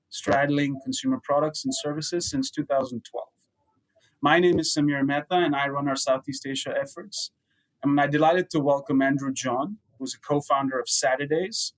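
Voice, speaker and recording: A man's voice: a little high, rough recording. A man hosting a business podcast as an investor, giving a prepared but conversational introduction. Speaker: podcast host, venture capital investor.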